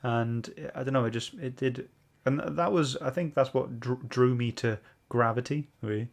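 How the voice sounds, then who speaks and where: Male podcast host, medium pitch, casual and hesitant, feeling his way through his opinions. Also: normal speed, gravelly. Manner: hesitant, searching for words. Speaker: podcast book club host. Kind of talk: casual comic book discussion.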